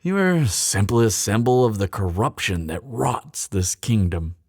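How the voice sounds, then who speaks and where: Slow, sardonic, bitter thief acted by a man. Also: on the low side, sing-song, husky, no echo, clean studio sound, full character voice. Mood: mocking, controlled. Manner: sardonic, theatrical, deliberate pauses. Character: bitter, mocking young male thief hardened by grief. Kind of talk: dramatic fantasy audio roleplay, addressed to listener.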